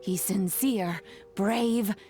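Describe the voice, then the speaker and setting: Game role: caring elderly grandmother, slow measured delivery, mid pitch, proud and doting. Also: faint music behind. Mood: fond, proud. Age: older. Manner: measured, gentle, indulgent. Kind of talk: video game voice acting.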